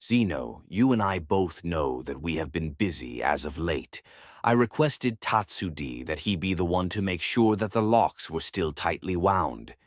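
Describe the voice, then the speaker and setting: Prison overseer played by a man: low voice, big swings, defensive, argumentative, hot-headed, animated web drama. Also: unhurried, no echo, clean studio sound.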